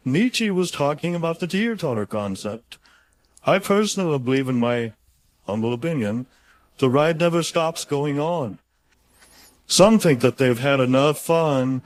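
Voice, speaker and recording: A man reading his own motivational audiobook chapter in an informal, folksy and emphatic conversational way. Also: medium pitch.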